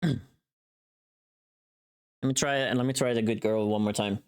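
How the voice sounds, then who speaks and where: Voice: medium pitch, fairly even intonation, moderate pace, clean tone. Casual streamer thinking aloud. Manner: thinking aloud, self-critiquing. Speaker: streamer recording voice lines. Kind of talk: casual livestream chat.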